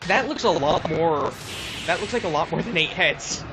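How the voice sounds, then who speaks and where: A man with a somewhat high voice chats casually as co-host of a gameplay video. He is halting and often hunts for the right word. Game music plays loudly behind him. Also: lively intonation, poor audio. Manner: halting, searching for words. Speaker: let's play co-host.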